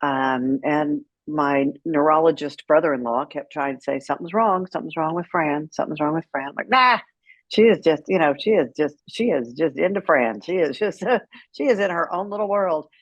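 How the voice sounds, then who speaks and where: A woman's voice: sits low, moderate pace, no echo. A middle-aged American woman speaks as an interview guest, candid and wry, telling stories conversationally. The audio is a little dull and very dry, with hardly any room around the voice. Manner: candid, wry, animated retelling with quoted dialogue. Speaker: interview guest, caregiver advocate. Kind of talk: conversational storytelling.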